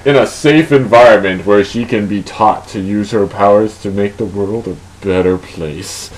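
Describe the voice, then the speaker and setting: A man voicing an earnest, stern superhero leader from a comic book, lecturing in a measured way. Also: fairly low pitch, voice pushed down, close to an everyday voice, rough recording.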